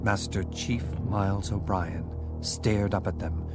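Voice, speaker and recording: A low-voiced man narrates an audiobook in a measured, dramatic way. Loud music plays behind him throughout. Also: steady tone.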